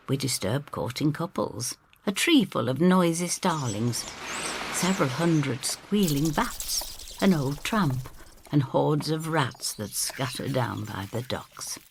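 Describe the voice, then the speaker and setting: Low-pitched, animated woman storyteller. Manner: expressive, theatrical storytelling. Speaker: first-person storyteller. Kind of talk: scripted dramatic narration in character.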